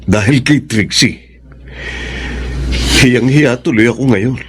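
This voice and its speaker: An actor playing a regretful older brother in a Filipino radio drama, speaking earnestly. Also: male voice, medium pitch, slow pace, a bit bright, husky. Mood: hurt, ashamed. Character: remorseful older brother and uncle working abroad, duped in love.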